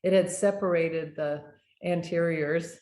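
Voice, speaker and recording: An older American woman, a dental hygienist, telling animated stories in a casual interview. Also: lowish voice, unhurried. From United States. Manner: animated anecdotes with laughter. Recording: echoey room, faint noise.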